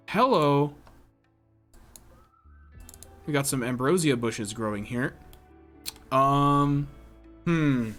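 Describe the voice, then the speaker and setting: A man's voice: medium pitch, fairly bright tone. A man giving casual live gameplay commentary, chatting with viewers and thinking aloud in a self-deprecating way. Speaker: game streamer. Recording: music behind the voice.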